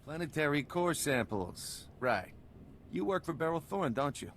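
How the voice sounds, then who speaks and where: Creaky, cynical smuggler. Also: male voice, a little high, very animated, unhurried, a little hiss or hum. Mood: annoyed. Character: greedy cynical male smuggler turned counterfeiter. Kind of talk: video game dialogue.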